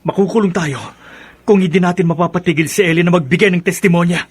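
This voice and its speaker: An actor playing a vengeful, hot-tempered criminal on the run in a radio drama, menacing and raspy. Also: male voice, high voice, fairly even intonation, fast talker. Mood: furious. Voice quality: raspy, gravelly. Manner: cursing, menacing.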